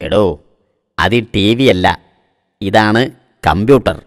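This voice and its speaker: A pompous cartoon know-it-all. His voice sits fairly low and carries a long reverb tail. He brags and gets exasperated in broad comic style. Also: slow pace, music in the background. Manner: pompous, boastful. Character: bragging, exasperated man acting the computer expert.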